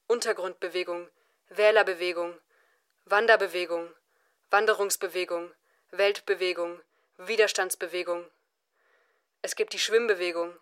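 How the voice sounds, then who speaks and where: An actress playing an impersonal narrator in an experimental radio play, reciting scripted lists in a rhythmic, incantatory way. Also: female voice, mid-range voice, fairly bright tone, close to an everyday voice. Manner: incantatory, rhythmic list-like delivery. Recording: music behind the voice.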